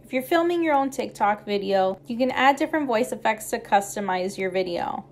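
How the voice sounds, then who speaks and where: A woman's voice: on the high side, expressive, a little fast. A woman reading scripted how-to narration as a tutorial narrator.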